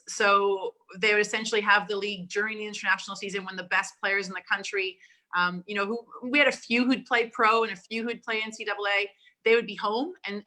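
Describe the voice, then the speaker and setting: Bright-voiced chatty Canadian woman coach. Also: mid-range voice, rough recording. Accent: mix of Canadian and Australian. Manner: chatty and upbeat, laughs often. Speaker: volleyball coach, guest. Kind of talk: casual interview chat.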